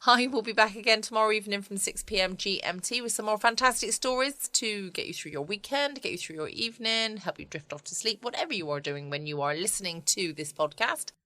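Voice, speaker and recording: Southern english woman hosting a podcast, chatty, quick, bright voice. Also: medium pitch, dry recording. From England. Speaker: podcast host.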